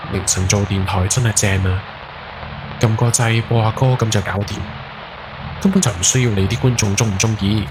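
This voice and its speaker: A man's voice: mid-range voice, close to an everyday voice. A man playing a guilt-ridden night taxi driver in his forties, telling a horror story in Hong Kong Cantonese with brooding, dramatic emphasis. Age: 40s. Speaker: ghost story podcast narrator. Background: background music.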